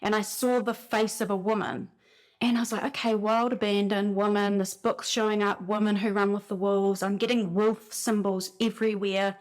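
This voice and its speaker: A woman with a New Zealand accent talks casually about spiritual signs, telling her stories with animated, rambling energy. Her voice sits at a middle pitch for a woman, and she fills her sentences with "like" as she goes. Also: moderate pace. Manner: animated storytelling, frequent "like" fillers. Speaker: spiritual speaker.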